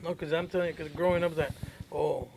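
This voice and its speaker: A man's voice: a little high, steady tone, fast, creaky, a little mellow, a little hiss or hum. A man co-hosting a podcast, telling stories and asking questions in casual, profane chat. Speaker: podcast co-host.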